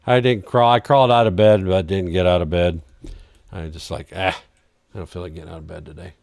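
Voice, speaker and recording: A man's voice: deep voice, steady tone, fairly quick, gravelly, darkish tone, no echo, studio quality. A man hosting a live trading stream, chatting casually and answering viewer questions while he reads charts, his voice getting worn out. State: losing his voice. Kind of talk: casual live market commentary.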